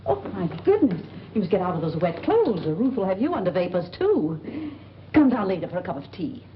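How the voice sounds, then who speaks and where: A woman's voice: on the low side, very animated, brisk, a crowd in the background. An actress playing a scatterbrained, kindly, chattering landlady in a 1940s film. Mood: flustered, kindly. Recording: muffled old film soundtrack with hiss.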